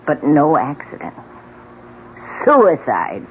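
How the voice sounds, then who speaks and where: A woman's voice: low-pitched, huge pitch swings, slow pace, exaggerated voice. A woman playing a bitter, needling old widow who tells ominous tales in an old-time radio drama. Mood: ominous, dramatic. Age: older. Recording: muffled, noisy vintage radio recording.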